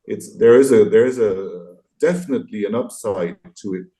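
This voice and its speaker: A man's voice: fairly low pitch, normal speed, mellow. A man in his sixties speaking as an interview guest, reflective and articulate, thoughtful and hesitant, with a self-deprecating way of talking. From France, partly raised abroad. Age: 60s. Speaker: interview guest, graphic novelist and illustrator. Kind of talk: reflective conversational interview answers.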